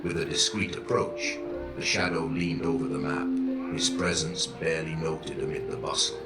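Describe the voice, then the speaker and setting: Super deep guy narrating a dramatic audio story, bit echoey, music behind him. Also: little pitch movement, normal speed. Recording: roomy echo with music underneath.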